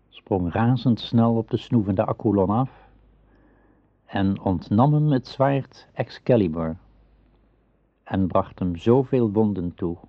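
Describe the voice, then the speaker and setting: A man narrating a radio play as a storyteller, in a measured storybook manner. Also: sits low, dry recording. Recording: slightly dull radio play recording.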